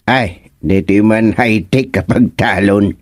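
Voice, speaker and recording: A man acting an excitable villager in an Ilocano radio comedy drama, with an Ilocano accent. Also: fairly low pitch, slow, no echo, studio quality. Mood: chatty.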